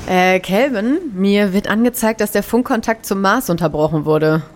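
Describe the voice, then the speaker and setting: An actress playing a worried mission control operator in an audio drama. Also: female voice, medium pitch, normal speed, darkish tone, a little hiss or hum, close to an everyday voice. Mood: alert, businesslike.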